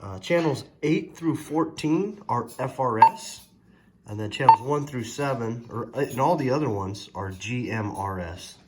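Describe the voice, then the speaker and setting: A man's voice: medium pitch, a little slow. A man giving a casual, plainspoken hands-on product demonstration in a folksy way. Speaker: product reviewer. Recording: loud background noise, vehicle ambience.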